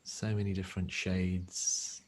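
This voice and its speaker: A man with a deep voice and a husky grain leads a guided meditation. He speaks gently and takes his time, leaving long pauses between phrases as a teacher would. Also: level tone.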